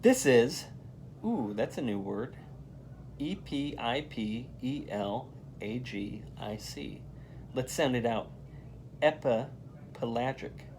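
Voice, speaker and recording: A man reading a children's picture book aloud as a volunteer, in an expressive, emphatic way. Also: medium pitch, takes it slowly, pauses often, a little hiss or hum. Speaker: volunteer read-aloud reader.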